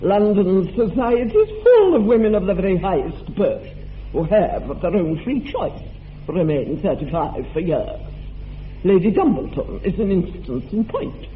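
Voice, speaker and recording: A man voicing a haughty, snobbish aristocratic older woman in a radio play, with a grand, sweeping delivery. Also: high voice. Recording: thin narrow-band radio recording with faint hiss.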